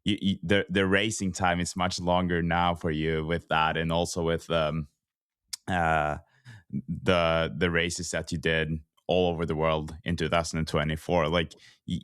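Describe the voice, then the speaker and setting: A man's voice: low voice, fairly bright tone, clean tone, no echo, studio quality. A man hosting a podcast in a conversational way, with stammered starts and rambling run-ups to his questions. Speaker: podcast host. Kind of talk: conversational interviewing.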